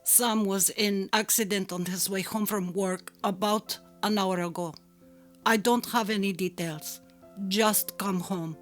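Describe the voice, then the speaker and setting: A woman's voice: mid-range voice, steady tone, a little slow, natural voice. A woman voicing a frantic older mother, urgent and clipped, in a dramatic story reading. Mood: urgent, frightened. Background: faint music.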